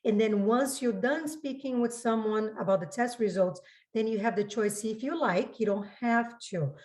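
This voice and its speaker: A woman working as a school registrar gives informal spoken explanations to parents, often repeating and rephrasing to be clear. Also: on the high side, moderate pace, darkish tone.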